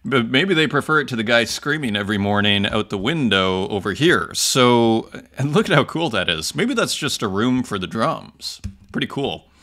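A man in his forties chats casually to camera about viewer comments. His voice is bright and forward, and his talk rambles with fillers and restarts. He sits close to a webcam in a room lined with bookshelves. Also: medium pitch, animated, moderate pace. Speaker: artist vlogger reading viewer comments. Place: home room with bookshelves, indoors. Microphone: close webcam-style framing.

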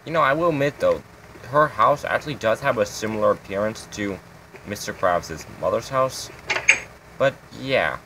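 A man's voice: fairly low pitch, expressive, normal speed, background noise. A man hosting his own game channel, giving a casual, rambling tour of his builds with lots of fillers.

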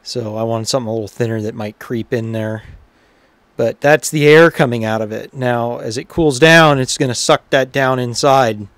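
A man talks through a repair in a casual, unhurried manner, thinking out loud. His voice sits at an average pitch. The sound is dry, with very little room in it. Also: no echo. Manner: informal, unhurried, thinking aloud. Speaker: repairer presenting his own workshop video. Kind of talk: casual hands-on repair commentary.

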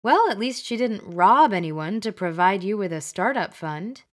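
A woman's voice: medium pitch, expressive, moderate pace, natural voice. A woman voicing a plainspoken young woman with a dry sense of humor. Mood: wry.

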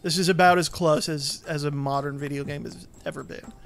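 A man giving casual, explanatory gameplay commentary, often halting mid-sentence as he goes. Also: a little high, steady tone, creaky, faint music behind. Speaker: video game commentator.

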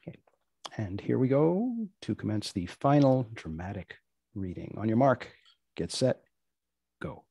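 A man's voice: fairly low pitch, big pitch swings, unhurried, creaky, pauses often, mellow, breathy edge, no room sound. An older man acting as host and master of ceremonies, moderating a session of readings.

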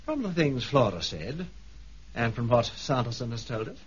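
Earnest educated sleuth, pointed delivery, husky, animated, 1970s radio play. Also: male voice, medium pitch, normal speed. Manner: articulate, pointed. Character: earnest, educated investigator, polite but accusing. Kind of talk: 1970s British radio drama acting. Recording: dull 1970s radio recording.

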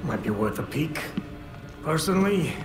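An actor playing a sly, guarded crime boss and bitter ex-convict in a video game, cagey and sardonic. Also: male voice, fairly high pitch, sing-song, normal speed. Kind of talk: video game villain acting. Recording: roomy echo under clear music.

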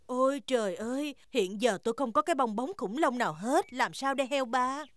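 A woman's voice: sits high, lively intonation, fairly quick, no room sound, clean studio sound. A woman voicing a polite, obliging lady gazelle balloon seller in a children's cartoon dub, speaking expressively. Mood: dismayed.

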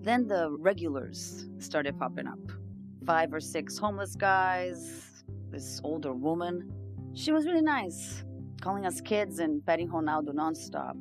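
A woman's voice: on the low side, lively intonation, unhurried. An actress playing an earnest, weary homeless migrant woman, confiding her story in a conversational audio-drama monologue. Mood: reflective, rueful. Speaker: narrator-protagonist of an audio drama. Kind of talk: first-person dramatic monologue. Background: plucked guitar music.

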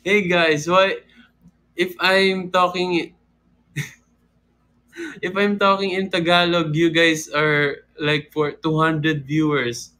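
A young man talks casually into a close phone camera at home. His voice sits high and his pace is very slow and drawn out. He is playful with his viewers and slips into bits of song. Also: level tone, sharp tone, rough recording. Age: young adult. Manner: playful, reads comments, breaks into sung lines. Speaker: livestream host. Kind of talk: casual livestream chat with singing. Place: home room indoors.

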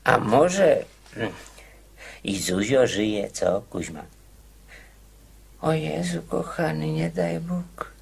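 An actor playing an anxious, eager, simple-minded prisoner in a radio drama, excitable, pleading and insistent. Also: male voice, mid-range voice, slow pace, creaky, long pauses, exaggerated voice. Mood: fretful.

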